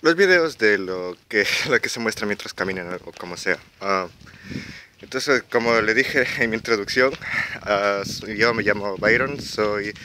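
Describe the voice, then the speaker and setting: A young man in his twenties with an Ecuadorian Quito accent, vlogging casually while walking outdoors on quiet residential streets, talking hesitantly with many fillers and restarts. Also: lowish voice, unhurried. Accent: Ecuadorian Spanish (Quito). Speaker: exchange student vlogger. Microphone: handheld camera while walking.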